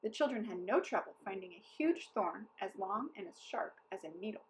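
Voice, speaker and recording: A woman reading a children's story aloud as storyteller, in an expressive, deliberate way. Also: mid-range voice, slight background noise, low-quality recording.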